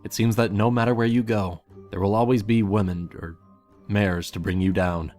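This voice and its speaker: A man's voice: fairly low pitch, steady tone, normal speed, a little mellow. A man reading scripted fan fiction as the narrator. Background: music.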